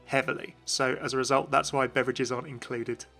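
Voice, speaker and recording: Man, bright forward voice, mid pitch, informal explanatory game commentary, faint music behind. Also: little pitch movement, brisk, gravelly. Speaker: video narrator and game commentator.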